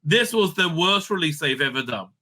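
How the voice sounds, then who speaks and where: A man's voice: a little high, animated, normal speed, bright, forceful tone. A man hosting a casual fan review, ranting bluntly with plenty of swearing and exasperation, often tagging his points with a questioning "right?". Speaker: host of a fan review show. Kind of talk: casual opinionated review rant.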